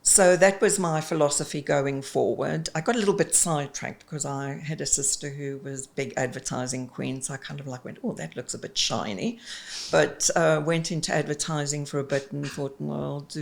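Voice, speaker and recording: A woman's voice: deep voice, fairly even intonation, normal speed, gravelly. A woman in her fifties with a South African accent, an executive coach chatting expressively in an interview, with frequent ums. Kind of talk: casual interview chat.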